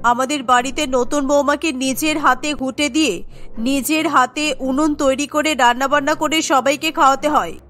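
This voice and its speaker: A woman's voice: high-pitched, fairly even intonation, fairly quick, fairly bright tone. A woman voicing a stern, domineering older mother-in-law in a cartoon, with a commanding manner. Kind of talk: cartoon voice acting.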